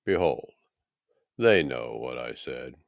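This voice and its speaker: Man voicing a deep, resolute holy teacher. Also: lively intonation, takes it slowly, gravelly, no room sound, clean studio sound, full character voice. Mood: calm, firm. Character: calm, resolute male holy teacher on trial.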